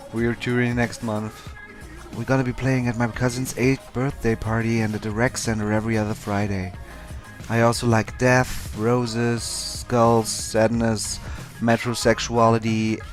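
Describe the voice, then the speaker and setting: A man's voice: mid-range voice, close to an everyday voice. A man voicing a sullen, angsty emo schoolboy who sings in a band, in an anime parody. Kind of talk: comedic visual novel parody dub.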